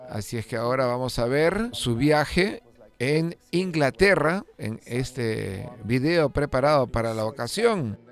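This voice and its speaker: Male car-show host doing casual voice-over narration, loose and conversational, with soft music beneath. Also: medium pitch, animated, a little slow. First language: Spanish; lived in England over sixteen years. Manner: conversational, with frequent "eh" fillers and restarts. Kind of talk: unscripted voice-over commentary.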